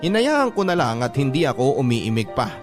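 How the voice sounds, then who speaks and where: A man's voice: mid-range voice, very animated, normal speed. A man with a Filipino accent narrating a scripted first-person love story in classic radio drama style. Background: music bed.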